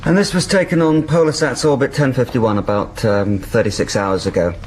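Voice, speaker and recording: An actor playing an easygoing, matter-of-fact technician at a polar base in a 1980s radio thriller. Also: male voice, mid-range voice, brisk, noisy background, close to an everyday voice.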